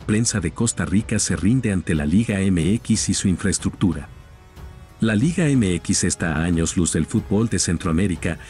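A man with a deep, low voice narrates a soccer news video. He talks straight to viewers in an upbeat tone. Soft music runs behind him. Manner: upbeat, addresses viewers directly. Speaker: channel narrator.

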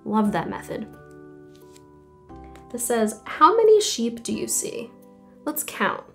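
Casting: young woman, slightly high-pitched, animated kids' picture-book reader, faint music behind. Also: slow pace. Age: young adult. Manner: animated, clearly enunciated storytelling with questions to young listeners. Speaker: children's story time host and reader. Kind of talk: reading picture stories and poems aloud to children. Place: small indoor room at home.

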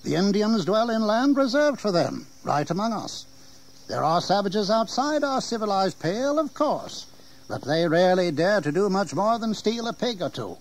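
High-voiced, snobbish colonial governor. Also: male voice, sing-song, normal speed. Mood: dismissive, breezy. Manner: patrician, condescending, dismissive. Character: genial, snobbish older colonial governor, complacent aristocrat. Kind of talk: historical audio drama acting. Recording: noisy 1980s audio drama tape.

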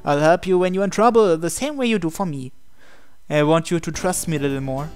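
A man's voice: fairly high pitch, brisk, smooth. A man reading visual novel narration and dialogue aloud as a gaming video host. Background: game music.

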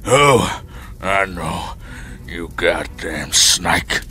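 A man voicing a dying, defiant outlaw gunman in a video game fan dub, gruff, bitter and short of breath, with a husky, gravelly voice. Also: low voice, lively intonation, very slow, pushed and bright, full character voice. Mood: bitter, angry. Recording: music under the voice.

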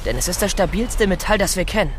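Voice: mid-range voice, lively intonation, fast, close to an everyday voice. An actor playing a knowledgeable young adventurer in a children's audio drama, plain-spoken and explanatory. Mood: explaining. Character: young adventurer, knowledgeable, matter-of-fact companion. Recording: clear ambient noise behind.

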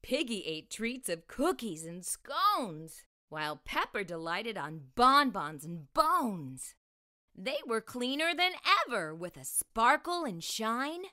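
Bright, animated woman storyteller. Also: on the high side. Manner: expressive, deliberate delivery for young children. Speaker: children's storytime host and reader. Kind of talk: read-aloud storytelling for children. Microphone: lapel mic.

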